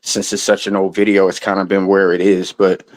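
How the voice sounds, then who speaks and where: A man's voice: deep voice, flat delivery, fairly quick. A man in a casual interview, talking in a loose, rambling way with lots of restarts and some swearing. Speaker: guest musician.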